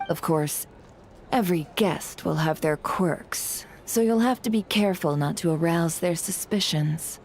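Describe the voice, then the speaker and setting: A woman's voice: fairly low pitch, measured pace, darkish tone, a little hiss or hum. A woman playing a poised, shrewd and influential lady in a game, speaking with formal composure and persuasion. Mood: smooth, confiding. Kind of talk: scripted game voice acting. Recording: music behind the voice.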